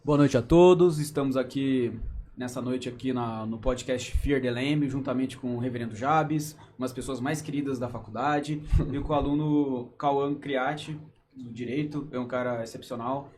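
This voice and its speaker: A man's voice: mid-range voice, steady tone, normal speed, a little mellow, a little hiss or hum. A man co-hosting a student podcast, greeting listeners in a friendly, enthusiastic way. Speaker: podcast co-host.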